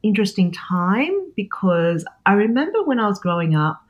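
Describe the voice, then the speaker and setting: A woman's voice: medium pitch, sing-song, normal speed, dull audio. A woman in her forties with an Australian accent, a chef talking as an interview guest, thoughtful and full of restarts. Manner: thoughtful, many fillers and restarts. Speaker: guest, chef and cookbook author. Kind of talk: reflective interview conversation.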